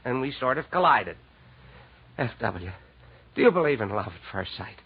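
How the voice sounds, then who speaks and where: A man's voice: mid-range voice, very animated, moderate pace, slightly rough. An American man acting in 1940s radio style as an effusive, flustered, good-natured department store manager. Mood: dreamy, flustered. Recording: dull, lo-fi 1940s radio recording.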